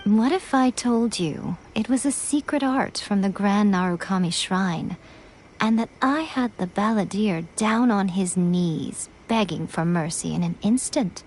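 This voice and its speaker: A woman's voice: mid-range voice, measured pace, a little mellow, close to an everyday voice. Woman voicing a coy, teasing shrine maiden. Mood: coy, amused. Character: playful, self-assured, teasing, cunning shrine maiden. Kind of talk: video game voice acting. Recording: music under the voice.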